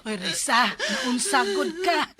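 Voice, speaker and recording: A very high-pitched radio drama mother, fretting over her grown daughter. She fusses and exclaims, caring and worried at once. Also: wildly swooping pitch, takes it slowly, over a noisy crowd, exaggerated voice. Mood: concerned, soothing. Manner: fussing, exclaiming.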